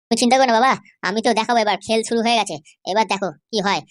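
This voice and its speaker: Man voicing a cocky, high-pitched young cartoon nephew. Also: racing, fairly bright tone. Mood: determined. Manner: insistent, boastful, reassuring. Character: cocky, protective young nephew vowing revenge. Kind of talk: amateur comedy cartoon dubbing.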